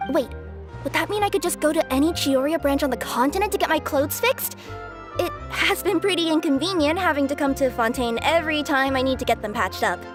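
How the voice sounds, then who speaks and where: A woman's voice: sits high, brisk, close to an everyday voice. A woman voicing a cheerful, playful young female courier spirit with a chirpy, giggly manner. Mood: sheepish. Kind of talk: video game voice acting. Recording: game audio with music.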